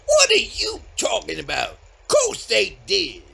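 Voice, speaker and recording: A man playing a bossy, dim-witted Southern backwoods older brother in an audio comedy, with a folksy drawl and a raspy, strained voice. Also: sits high, wildly swooping pitch, takes it slowly, full character voice. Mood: indignant. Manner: folksy, loud, scolding, ungrammatical drawl. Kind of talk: audio comedy-horror acting.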